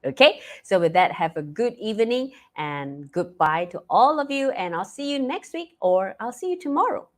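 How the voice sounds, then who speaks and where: A woman hosting a live talk stream, hoarse and stuffy-nosed, chatty with many fillers and restarts. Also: a little high, very animated, moderate pace, few pauses. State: hoarse, losing her voice; stuffy nose. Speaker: live talk show host.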